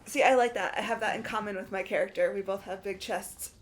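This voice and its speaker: A woman's voice: medium pitch, fairly even intonation, a little fast. A woman chatting casually among friends during a game playthrough, in a crude, jokey way. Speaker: cast member in a group playthrough. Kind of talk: casual joking chat.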